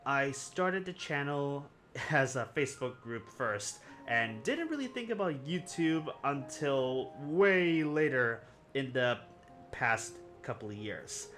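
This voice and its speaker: A man's voice: mid-range voice, big pitch swings, takes it slowly, fairly bright tone. A young man from Puerto Rico hosting a film review channel, telling a personal story in an earnest, rambling way that often corrects itself. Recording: music playing underneath.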